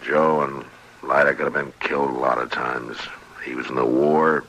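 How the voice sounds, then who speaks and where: An American actor playing a world-weary, somber U.S. Marshal of the Old West in a radio drama, speaking tersely. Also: male voice, very deep voice, flat delivery, moderate pace, creaky, fairly bright tone, exaggerated voice. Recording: noisy vintage radio recording.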